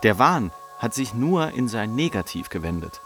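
A man's voice: fairly low pitch, very animated, moderate pace, fairly bright tone, faint music behind. An actor playing a bipolar man who recounts his breakdowns in a radio play, bitter and self-lacerating, with emphatic literary delivery. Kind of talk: scripted radio play narration.

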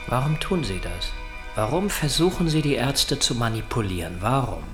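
A man's voice: medium pitch, very animated, normal speed, music in the background, close to an everyday voice. An actor playing a male kidnapper who interrogates coolly and insistently in a German radio drama.

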